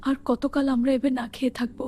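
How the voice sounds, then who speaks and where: A woman's voice: high-pitched, little pitch movement, fast, dark tone, natural voice. A woman voicing a loving, hungry mother bird who pleads in a cartoon. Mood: weary. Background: faint music.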